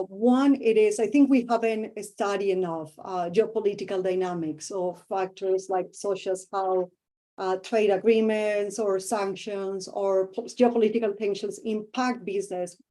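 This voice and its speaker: A woman's voice: mid-range voice, steady tone, measured pace, dark tone. A middle-aged woman with a Colombian Spanish accent, a professor answering interview questions thoughtfully and searching for words with many fillers.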